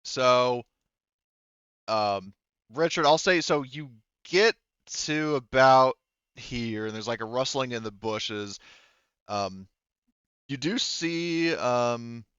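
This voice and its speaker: A man's voice: medium pitch, slow, long pauses, a bit bright, smooth, dry recording, dull audio. A man talking casually as game master of a tabletop game, narrating and going over rules in an informal, sweary way.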